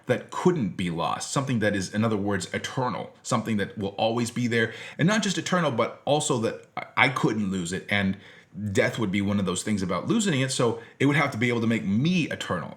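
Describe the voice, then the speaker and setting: A man's voice: mid-range voice, expressive, brisk. An American man giving an informal philosophy lecture in a conversational, emphatic way, as a teacher presenting to an audience he addresses directly.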